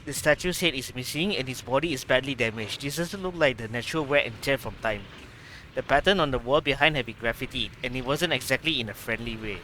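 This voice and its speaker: A man's voice: mid-range voice, fast, bright, forceful tone, slight background noise, lightly acted, low-quality recording. A man voicing a thoughtful young investigator who reasons aloud, analytically, in game dialogue. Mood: pondering.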